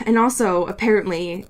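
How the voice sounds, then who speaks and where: A woman's voice: medium pitch, unhurried. A woman co-hosting a podcast in casual comedic banter, giggly and given to theatrical exaggeration. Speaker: podcast co-host.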